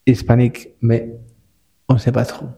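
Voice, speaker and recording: Halting man with a Spanish-speaking accent. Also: mid-range voice, monotone, vocal fry, mellow, husky. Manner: halting, many repeated words and restarts. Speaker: spa manager, guest. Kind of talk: casual podcast interview.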